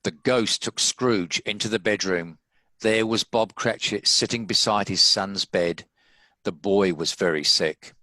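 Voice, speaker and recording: A man narrates a scripted story. His voice is deep yet bright and forceful, and it sounds close and dry with very little room around it. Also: measured pace, dry recording, low-quality recording. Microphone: home video-call recording.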